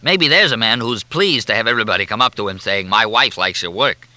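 A man's voice: high voice, very animated, normal speed, sharp tone, dry recording, pitched above the performer's own voice, full character voice. A man voicing a cantankerous male humor columnist who badgers in crude, repetitive rhetorical questions, as if through a chewed cigar. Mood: needling.

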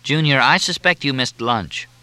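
Man acting a sardonic wisecracker with a bright, forceful voice. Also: mid-range voice, no echo, pitched above the performer's own voice. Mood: flippant. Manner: dry, flippant, deliberate drawl. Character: cool, sardonic, wisecracking male investigative newspaperman.

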